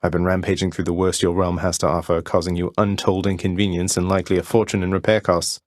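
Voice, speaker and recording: A low, close-up voice with no room sound, belonging to a rebellious young prince of the underworld as a man acts him out in a video game. He is politely sardonic and understated, delivering his lines with dry wit. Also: flat delivery, fast talker, no echo, lightly acted.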